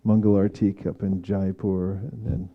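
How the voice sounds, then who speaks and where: Man, spiritual teacher, low voice, reflective halting phrasing, muffled recording. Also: little pitch movement. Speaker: spiritual teacher leading a scripture class. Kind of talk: informal devotional discussion.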